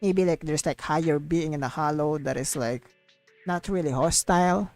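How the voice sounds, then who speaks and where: A woman's voice: sits low, normal speed, a little mellow, no room sound, soft background music. A young woman livestreamer giving casual, rambling game commentary, repeating herself and filling sentences with "like" and "you know.". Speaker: VTuber livestreamer.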